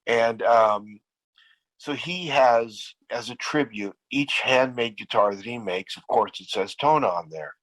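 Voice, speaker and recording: A man's voice: mid-range voice, unhurried, fairly bright tone, dry recording, poor audio. A man who is losing his voice, telling stories in casual podcast chat with many restarts and repeats. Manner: rambling storyteller with many restarts. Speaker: podcast guest, band frontman.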